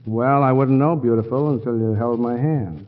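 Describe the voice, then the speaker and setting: An actor playing a wisecracking middle-aged lawyer turned amateur detective in a 1940s radio play, breezy and flirtatious. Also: male voice, mid-range voice, moderate pace, a crowd in the background, close to an everyday voice. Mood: flirty. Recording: muffled 1940s radio broadcast.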